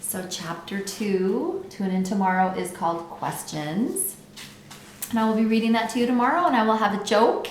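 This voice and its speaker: A woman's voice: medium pitch, normal speed. A woman talking casually to children as a principal hosting a read-aloud. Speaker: school principal reading aloud. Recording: roomy echo with faint background noise.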